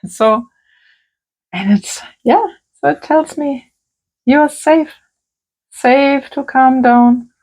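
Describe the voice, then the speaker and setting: A woman working as a creative therapist, talking gently and softly through a calm crafting tutorial. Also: high-pitched, low-quality recording. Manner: gentle, soft-spoken, unhurried.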